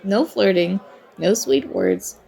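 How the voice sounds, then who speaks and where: A woman's voice: medium pitch, expressive, takes it slowly, gravelly, exaggerated voice. A woman playing a flirty, charming stranger at a party bar in an ASMR roleplay, teasing and coy as she talks. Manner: playful, teasing, coy, mock-apologetic. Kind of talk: ASMR roleplay, acted one-sided conversation. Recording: soft music and party chatter behind. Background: music and crowd murmur of a party bar.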